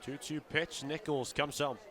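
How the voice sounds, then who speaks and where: A male sports announcer with a fairly high voice calls baseball live from the ballpark. His delivery is descriptive and fluent, in classic radio play-by-play style. Crowd noise is clearly audible behind him. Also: measured pace. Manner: fluent descriptive calling, building to excited shouts on big plays. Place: outdoor baseball stadium broadcast position.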